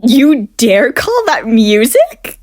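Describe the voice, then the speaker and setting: A sardonic teenage schoolgirl, acted by a woman in a comic dub. Fairly high-pitched and very animated, grumbling with exclamatory sarcasm. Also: slow. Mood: sarcastic, incredulous. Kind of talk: comic fandub voice acting.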